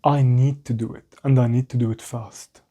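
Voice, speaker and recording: Young man with a foreign accent, mellow voice. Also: medium pitch, steady tone, moderate pace. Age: young adult. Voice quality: non-native English accent. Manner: rambling, self-mocking, restarts often. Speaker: vlogger. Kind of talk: candid talk to camera. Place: small room at home.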